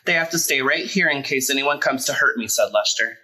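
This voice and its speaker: A man reading a children's picture book aloud as a storyteller, in an expressive, deliberate way with emphasized words. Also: medium pitch, poor audio. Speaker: storyteller, drag performer.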